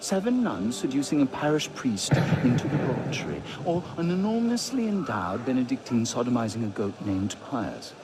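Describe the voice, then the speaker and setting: A man's voice: medium pitch, very animated, normal speed, hardly any pauses, lightly acted. Brief: male actor as an amused, corrupt nobleman, wildly swinging mocking drawl, noisy game mix. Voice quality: arch, theatrical, mocking drawl. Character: witty decadent aristocratic nobleman, middle-aged.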